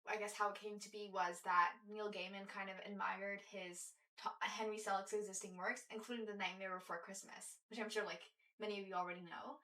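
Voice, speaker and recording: A young woman co-hosts a casual podcast, talking in a loose, rambling way full of fillers. Her voice sits at a middle pitch. It sounds roomy and a little far from the mic. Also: pushed and bright. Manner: chatty, many fillers and restarts. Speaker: podcast co-host. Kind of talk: casual chat. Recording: roomy echo, distant mic.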